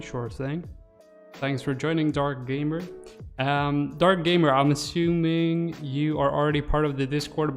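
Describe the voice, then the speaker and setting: A man in his twenties muttering his thoughts aloud as he casually hosts a livestream while working, close on a desk microphone. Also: medium pitch. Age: 20s. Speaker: livestream host. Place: small room indoors. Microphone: desk microphone close to the mouth.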